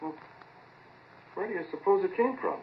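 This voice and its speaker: A man playing a brisk, excitable experimental scientist in a 1940s radio drama, with terse, offhand delivery. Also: high-pitched, lightly acted. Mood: baffled. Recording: muffled, distant, echoey old radio recording.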